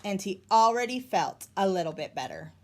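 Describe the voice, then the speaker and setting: A woman reading a picture book aloud as a teacher, in an expressive storytelling style for children. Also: mid-range voice, moderate pace.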